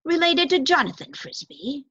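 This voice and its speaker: A woman voicing a grave, formal male owl in a storybook, speaking in measured pronouncements. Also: high-pitched, big pitch swings, bright, forceful tone, dry recording, pitched above the performer's own voice, full character voice. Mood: intent, deferential. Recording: dull, lo-fi close recording.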